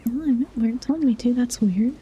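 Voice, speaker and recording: A sleepy woman streamer with a very soft, dark tone and a slightly high pitch. She rambles casually, thinking aloud as she plays. There is faint noise behind her. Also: brisk. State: sleepy, yawning. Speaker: live streamer. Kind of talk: casual game stream chat.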